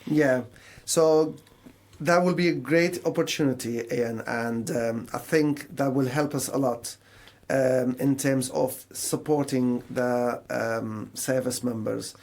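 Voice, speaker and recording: A man's voice: mid-range voice, steady tone, slow pace, darkish tone. A man from Syria with a Syrian Arabic accent, speaking conversationally as a guest in a radio interview and explaining things with imagined quotes. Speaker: mental health charity service manager, radio guest.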